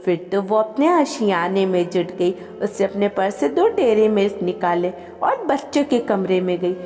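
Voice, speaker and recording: A woman reading a scripted, emotional family story as an expressive storyteller. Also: mid-range voice, normal speed. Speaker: storyteller and channel host. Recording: long reverb with music behind. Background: background music.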